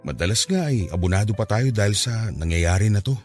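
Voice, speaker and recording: Guy doing earnest farmer husband, lowish voice, music softly behind. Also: animated, brisk. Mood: apologetic. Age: middle-aged. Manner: earnest, warm, apologetic. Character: hardworking middle-aged farmer husband, strict but loving. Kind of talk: radio drama acting.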